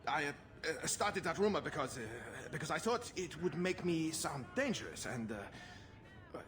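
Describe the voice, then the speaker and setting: A hapless young mage in a fantasy game, in his twenties. He is nervous and stammering, with a fairly high voice that jumps around animatedly. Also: expressive, measured pace, over music. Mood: nervous, sheepish. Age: 20s. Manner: nervous, stammering, boyish bluster. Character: hapless, naive young runaway mage, would-be ladies' man. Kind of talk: video game voice acting.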